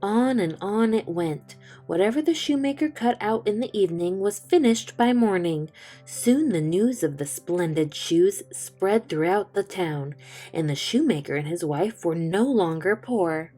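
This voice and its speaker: A woman reading a children's storybook aloud, slow, gentle and expressive. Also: medium pitch, soft background music. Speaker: children's book reader. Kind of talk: storytime read-aloud narration.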